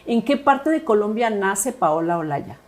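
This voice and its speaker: A woman's voice: mid-range voice, animated, measured pace. A woman hosting a podcast interview. She keeps the guest talking with affirming murmurs and filler words. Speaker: podcast host.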